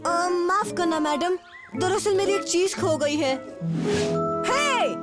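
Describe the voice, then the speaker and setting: A woman's voice: extremely high pitch, slow. A woman voicing an eager, mischievous young jungle boy in a cartoon, childlike and given to pleading and exclaiming. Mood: flustered. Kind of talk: Hindi cartoon dub acting. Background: loud music.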